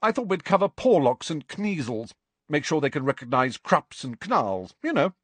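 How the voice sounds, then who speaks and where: A brisk, hearty older schoolmistress chatting breezily, as a man puts her on. The voice is lifted high and bouncy, with a husky grain, recorded close and dry. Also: big pitch swings, no echo. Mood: breezy.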